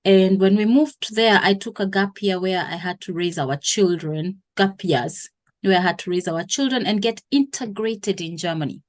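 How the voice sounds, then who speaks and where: A woman's voice: medium pitch, normal speed. Kenyan-born woman explaining her career. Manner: hesitant, self-correcting, explains at length. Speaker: guest. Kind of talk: interview conversation.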